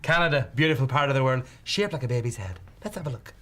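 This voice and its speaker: A man's voice: medium pitch, lively intonation, fast, a bit bright, close to an everyday voice. A man playing a polite, patient travel agent with salesman patter.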